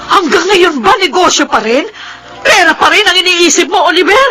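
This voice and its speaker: A woman's voice: very high voice, lively intonation, normal speed, bright, forceful tone, faint music behind, exaggerated voice. An actress with a Filipino accent playing an indignant, anguished mother in a radio drama, emotional, pleading and accusing. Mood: tearful anger. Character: anguished, indignant mother confronting her husband.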